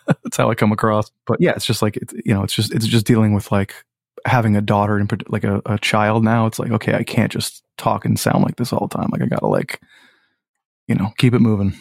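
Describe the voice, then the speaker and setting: A man with a low voice, a musician guest talking in a laid-back, rambling way with many fillers. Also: brisk, creaky, no echo, studio quality. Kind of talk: casual podcast chat.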